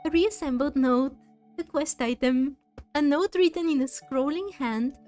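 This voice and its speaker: Woman, high voice, slow pace, casual let's play host, thinking out loud. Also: faint music behind. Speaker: gaming video host. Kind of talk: casual let's play commentary, reading game text aloud.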